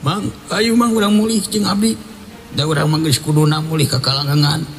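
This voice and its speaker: Man voicing a high-pitched, beckoning Sundanese ghost. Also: steady tone, a little fast, faint music behind, lightly acted. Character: ghostly departed comrades calling a man home.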